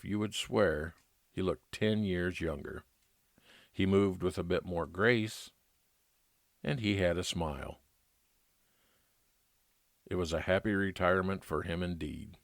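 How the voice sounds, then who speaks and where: Man, very deep voice, dry studio-like sound, scripted private-eye narration, old-time radio style. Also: no room sound. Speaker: narrator playing a private investigator.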